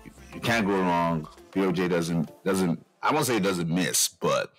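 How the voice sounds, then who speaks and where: Deep-voiced man, slangy and emphatic. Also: normal speed, fairly bright tone, soft background music. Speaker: guest co-host of music podcast. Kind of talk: casual music review chat.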